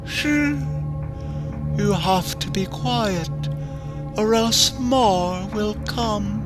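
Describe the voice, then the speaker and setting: A man voicing a sadistic, playful female clown who eats her victims, speaking in a soft, teasing, sing-song way over background music. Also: high-pitched, very slow, voice pushed up. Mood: sadistic glee. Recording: music bed under voice.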